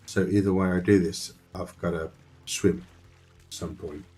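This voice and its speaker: A man's voice: low-pitched, steady tone, normal speed, darkish tone, faint music behind. A man thinking aloud and chatting with viewers while playing a survival game on a live stream. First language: Dutch. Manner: rambling, thinks aloud, talks to chat.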